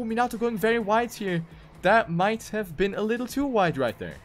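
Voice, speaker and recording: A man commentating live on a racing game, calling the action play by play and repeating phrases for emphasis. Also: high-pitched. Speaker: esports race commentator.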